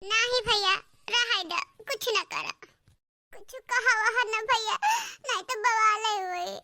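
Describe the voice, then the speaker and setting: Cartoon young Awadhi wife , played by a woman: tiny, extremely high bright voice, aggrieved complaining, faint noise behind. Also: slow pace, dry recording, heavily acted. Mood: timid, upset. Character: mistreated young village wife, timid and aggrieved. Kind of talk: cartoon dialogue.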